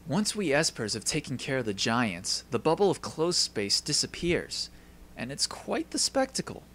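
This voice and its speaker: A man's voice: medium pitch, big pitch swings, normal speed, fairly bright tone, slight background noise. A man voicing a polite, composed teenage esper who explains things courteously in an anime dub style. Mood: calm, assured.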